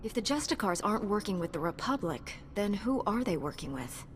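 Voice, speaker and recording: A woman's voice: mid-range voice, big pitch swings, natural voice. Woman playing a noble knight, echoing reverb. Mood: composed, resolute. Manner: formal, measured, resolute. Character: noble, composed female Jedi knight, steadfast and compassionate. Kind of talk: video game voice acting. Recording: long reverb, clear background noise.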